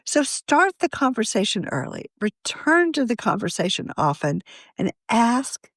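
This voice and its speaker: A woman reading an article aloud as scripted podcast narration, in a measured, articulate way. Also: fairly high pitch, big pitch swings, no room sound, studio quality.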